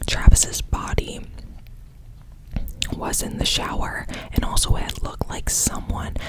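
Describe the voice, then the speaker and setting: A narrator whispering a true crime story in a casual, chatty way, leaning on "like" a lot. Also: slow pace.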